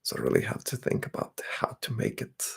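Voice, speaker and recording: A young man, chatting softly and often whispering on an ASMR livestream while reading out comments. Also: fairly quick. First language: Bulgarian. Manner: soft-spoken, drifting into whispers. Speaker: ASMR streamer.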